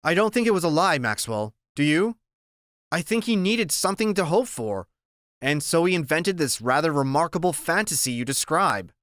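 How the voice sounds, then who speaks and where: A man voicing a gentle, weary woman doctor who speaks in a soft, earnest, consoling way. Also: fairly high pitch, very animated, normal speed, no echo, studio quality, pitched above the performer's own voice.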